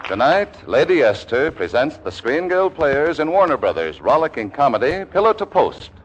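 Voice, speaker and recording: A man's voice: on the high side, sing-song, normal speed, husky. A man announcing a 1940s radio show in a showy, proclaiming style. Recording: muffled vintage radio broadcast with music.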